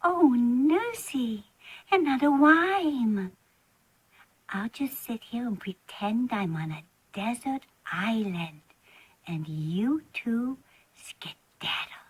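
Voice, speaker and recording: A woman's voice: a little high, animated, slow, slightly rough, exaggerated voice, low-quality recording. A woman playing a timid, imaginative little girl in a children's storybook play, earnest and pleading in a childlike way. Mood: earnest, playful.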